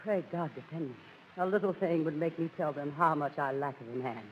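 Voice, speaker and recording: A performer playing a timid, bewildered young gentleman in 1930s radio Shakespeare, husky-voiced and theatrically earnest. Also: a little high, fairly quick, muffled recording, a little hiss or hum, close to an everyday voice. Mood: frightened.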